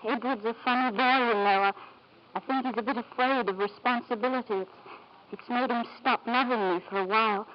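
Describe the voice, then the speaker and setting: A woman's voice: fairly high pitch, fairly even intonation, fairly quick, lightly acted. An actress playing an anxious but proud young woman in an old-time radio drama, speaking hesitantly and deferentially. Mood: hesitant, hopeful. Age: young adult. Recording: dull, noisy 1940s-50s radio recording.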